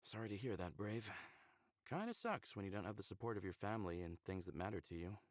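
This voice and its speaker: A man's voice: on the low side, animated, quick pace, dry recording, studio quality, close to an everyday voice. An actor playing an easygoing, friendly male space pilot in a visual novel game, talking in casual, laid-back phrases. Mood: sympathetic.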